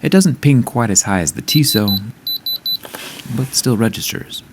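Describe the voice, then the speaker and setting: A man's voice: mid-range voice, animated, measured pace, background noise. A man narrating a scripted tech review in an upbeat, explanatory way, with background music playing. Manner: upbeat, explanatory, product-testing tone.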